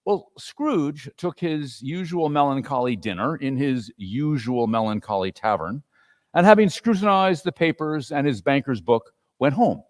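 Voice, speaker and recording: Animated male storyteller in a reverberant hall. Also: medium pitch, unhurried. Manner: expressive, theatrical storytelling. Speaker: narrator and performer. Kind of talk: dramatic reading of a classic story.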